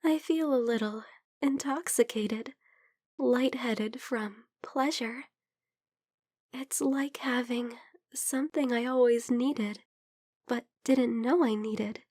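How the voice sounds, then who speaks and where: A shy young vampire girl, acted by a woman in an intimate ASMR roleplay. Her voice is high, soft and breathy, often falling to a whisper. She speaks slowly and hesitantly, tender and hungry, very close and dry. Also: fairly even intonation, dry recording. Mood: dazed, blissful.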